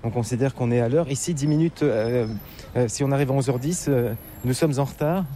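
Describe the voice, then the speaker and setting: A man's voice: medium pitch, fairly quick, dark tone. French man interviewing on a noisy street. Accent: French from France. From France. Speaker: reporter, interviewer. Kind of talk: conversational on-location travel interview. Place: outdoors on city streets. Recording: clear outdoor city traffic noise. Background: street ambience, passing vehicles.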